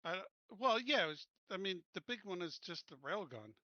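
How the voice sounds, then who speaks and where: A man with a bright, forceful and slightly high voice talks casually as a player in a tabletop game. The audio is close and a touch dull. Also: big pitch swings, normal speed, no echo.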